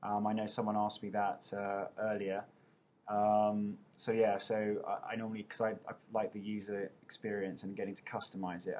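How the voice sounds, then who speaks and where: A man in his thirties, an actor, chatting casually on a webcam as he reads out viewer questions and answers them with playful self-mockery. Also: on the low side. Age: 30s. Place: indoors at home. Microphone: webcam mic.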